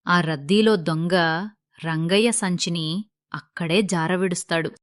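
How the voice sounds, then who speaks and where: A woman's voice: medium pitch, no room sound, clean studio sound. A woman narrating a scripted moral story as its storyteller.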